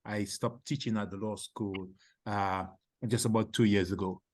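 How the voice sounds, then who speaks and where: A man in his seventies from Dominica, a panel guest speaking in a measured, matter-of-fact way. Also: on the low side, fairly even intonation, a little mellow, no echo. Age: 70s. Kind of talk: casual chat.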